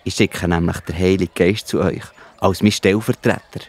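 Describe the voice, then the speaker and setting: A man with a Swiss accent playing a gentle, reassuring Jesus who explains things patiently in a children's Bible audio play. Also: sits low, steady tone, moderate pace, slightly rough. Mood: reassuring, encouraging. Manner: explains patiently in simple words.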